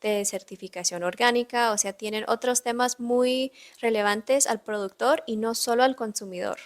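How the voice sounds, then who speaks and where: A woman's voice: fairly high pitch, normal speed. A woman who grew up in the United States and speaks English natively, chatting casually as a podcast host with eager, restarting sentences. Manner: enthusiastic, with frequent restarts.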